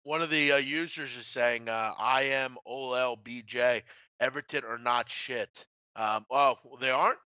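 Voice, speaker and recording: Man, clear crisp voice, medium pitch, blunt betting show host, casual, halting, slightly lo-fi recording. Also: steady tone, sharp tone, no room sound. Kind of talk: casual sports betting discussion. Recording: slightly dull, dry lo-fi audio.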